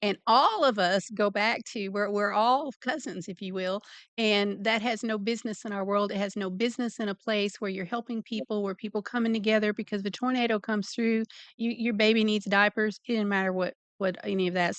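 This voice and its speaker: A woman's voice: medium pitch, no echo. A woman in her fifties hosting an interview show, talking in a chatty, folksy way. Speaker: talk show host. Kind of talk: casual interview chat.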